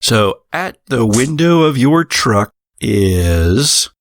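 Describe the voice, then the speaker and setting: A man telling spooky stories as a host and game master, measured, with dramatic pauses and wry asides. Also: medium pitch, very animated, slight background noise. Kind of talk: narrated spooky anecdote and game narration.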